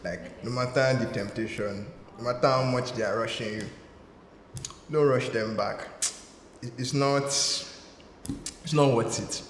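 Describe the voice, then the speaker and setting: A playful Nigerian man answers interview questions with a teasing edge. His mid-pitched voice carries a long reverb, and a faint hiss sits beneath it. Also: measured pace, long pauses.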